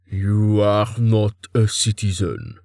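A man's voice: fairly low pitch, fairly even intonation, slow pace, a little mellow, dry recording. A man voicing a stern, contemptuous god in an audiobook, commanding and patronizing in manner. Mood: disdainful, interrogating. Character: stern, contemptuous male god, imperious and disdainful. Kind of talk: audiobook character acting.

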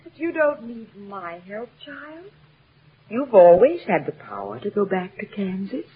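A woman's voice: medium pitch, sing-song, unhurried. An actress playing a serene, wise and kindly good witch in an old radio drama. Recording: tinny old radio recording.

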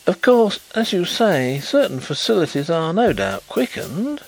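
An effusive storybook gentleman detective, proud and easily flustered, as a man acts him out. His voice is pushed higher and swoops expressively, with a stiff politeness. Faint music plays behind him. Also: big pitch swings, normal speed, rough recording. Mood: considerate. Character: Carlyle, excitable, sympathetic gentleman, proud private inquiry agent.

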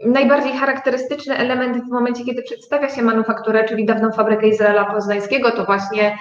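A woman's voice: a little high, flat delivery, fast, slight background noise. A woman, an interview guest explaining things in a conversational style. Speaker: interview guest, business founder. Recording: echoey room, slightly dull sound.

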